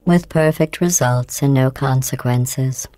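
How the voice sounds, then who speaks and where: A woman voicing a cold, sarcastic female AI overseer in a video game, with robotic processing on her voice and deadpan, mocking praise. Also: a bass voice, a little slow, no room sound. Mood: cold menacing. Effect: synthetic robotic voice processing.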